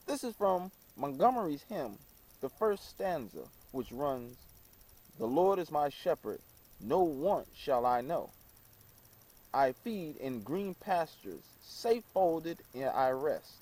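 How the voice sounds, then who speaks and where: Slow, mellow man reading aloud haltingly. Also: a little high, very animated. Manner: halting delivery, stumbling and repeating phrases. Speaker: reader. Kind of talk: scripted reading aloud of an old book.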